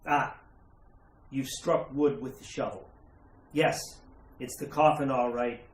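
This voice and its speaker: A courteous, slightly fussy judge played by a man speaks in halting, deliberate phrases, as in an old radio thriller. He has an unflappable, folksy manner and a middling pitch. The room echoes clearly around him. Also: fairly even intonation, natural voice. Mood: cautious. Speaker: actor in a radio play. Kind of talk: retro radio horror acting. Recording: roomy, echoing sound.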